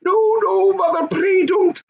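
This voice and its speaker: A man voicing an excitable, easily horrified young goblin son in a squeaky high voice for a storybook. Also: slow pace, creaky, lo-fi sound, exaggerated voice. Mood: pleading. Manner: excitable, exclaiming.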